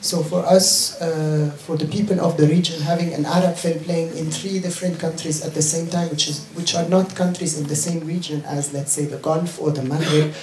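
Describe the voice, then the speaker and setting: A man's voice: fairly high pitch, little pitch movement, moderate pace, hardly any pauses, darkish tone, a little hiss or hum. A man moderating a film Q&A, explaining fluently with a few fillers. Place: cinema auditorium. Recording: roomy hall echo.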